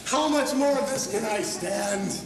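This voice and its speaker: A man's voice: high-pitched, lively intonation, measured pace, over a noisy crowd. A man playing an exasperated, sarcastic theater director in a live amateur stage comedy. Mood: melodramatic despair. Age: teens or young adult. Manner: melodramatic, sarcastic, blustering. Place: high school theater stage. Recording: echoey theater from far off, audience noise.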